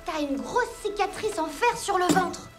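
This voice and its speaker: A woman voicing a fussy, excitable little boy in a cartoon, whiny and demanding. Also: extremely high pitch, moderate pace. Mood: curious. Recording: loud cartoon music behind.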